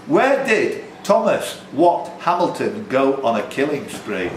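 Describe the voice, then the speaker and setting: A man's voice: mid-range voice, sing-song, measured pace. A man hosting a live pub quiz, reading out questions and teasing contestants with jokey banter. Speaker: quiz host. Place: pub, indoors. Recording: echoey, noisy pub room.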